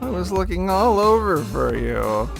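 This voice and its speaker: A woman's voice: on the low side, big pitch swings, measured pace, vocal fry, heavily acted. A woman playing a pretentious, oblivious rich party host in an audio drama, languid, with music behind the voice. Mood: languid, self-satisfied.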